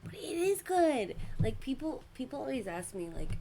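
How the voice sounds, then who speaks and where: A young woman hosting a casual streamed podcast. She giggles often between words, and her voice is worn from recent shouting. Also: sits high, very animated, normal speed, heavy background noise. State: losing her voice. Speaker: podcast host and VTuber.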